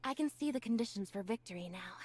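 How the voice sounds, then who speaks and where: An actress playing a calm, deadpan young female magician's assistant with a robotic mindset in a video game. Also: medium pitch, fast talker, lightly acted. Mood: cool, composed. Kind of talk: scripted video game character lines.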